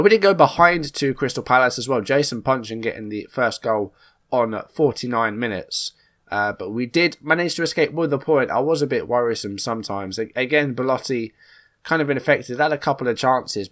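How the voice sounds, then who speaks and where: A man's voice: mid-range voice, fairly bright tone. Man with a cold, casual gaming commentary. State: coming down with a cold. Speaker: YouTube gaming channel host. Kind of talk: casual let's play commentary.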